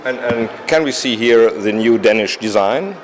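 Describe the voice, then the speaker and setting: A man interviewing an artist, asking halting questions and murmuring agreement in between. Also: mid-range voice, a little hiss or hum.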